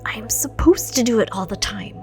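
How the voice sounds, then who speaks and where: A woman's voice: fairly high pitch, lively intonation, moderate pace, darkish tone, faint music behind, close to an everyday voice. A woman voicing a hot-tempered, self-sacrificing teenage boy hero in story dialogue, taut and terse. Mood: tense protest.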